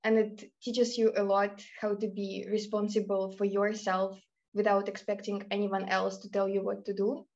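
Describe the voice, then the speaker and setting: A woman's voice: mid-range voice, monotone, normal speed, a little mellow. Enthusiastic woman on a muffled call. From Russia. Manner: enthusiastic, reflective. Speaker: student panelist. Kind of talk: casual remote panel answer. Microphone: video call.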